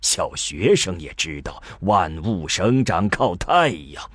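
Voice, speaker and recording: An old scholar in a radio drama played by a man, with an extremely deep, husky voice. He lectures patiently and slowly, with halting phrases and wide swings of intonation. The recording is close and dry. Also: big pitch swings, no echo, heavily acted. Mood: musing, didactic.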